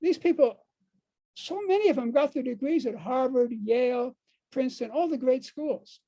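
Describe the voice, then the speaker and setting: An older American man giving an informal talk to a group, speaking haltingly and repeating words and phrases for emphasis. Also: extremely high pitch, mellow, rough recording. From United States. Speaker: speaker on dream work.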